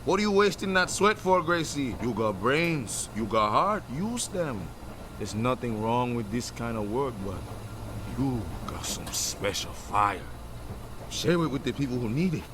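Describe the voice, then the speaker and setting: An actor playing an encouraging, streetwise musician and affectionate old friend in a comedic radio drama, speaking in a coaxing way. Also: male voice, medium pitch, sing-song, measured pace. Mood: earnest, urging. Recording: clear urban traffic noise behind voice.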